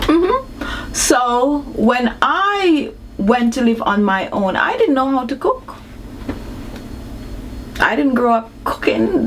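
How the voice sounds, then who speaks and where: High-voiced Jamaican woman vlogging. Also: a little hiss or hum. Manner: chatty and animated, laughs often. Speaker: beauty vlogger. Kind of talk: casual vlog chat.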